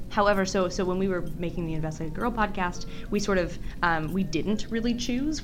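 A woman with a fairly low voice talks casually as a guest in an interview. She is chatty and rambles through her thoughts with plenty of "like" and "sort of". Music plays clearly behind her. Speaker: interview guest, podcast maker.

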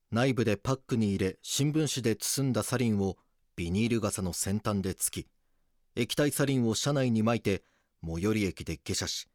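An actor narrating a crime docudrama as a grave police detective, in a measured, scripted delivery. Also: male voice, lowish voice, no echo, clean studio sound, lightly acted. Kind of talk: scripted dramatic documentary narration.

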